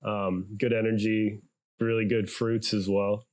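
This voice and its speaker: A man from San Diego, a podcast guest talking in a relaxed, chatty way and laughing easily. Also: on the low side, measured pace. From United States, San Diego. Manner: laid-back, chatty, laughs often. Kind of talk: casual podcast chat.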